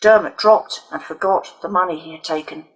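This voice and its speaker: A woman reading a children's novel aloud as its author, in dramatic, deliberate audiobook narration. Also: fairly low pitch, slightly rough, low-quality recording.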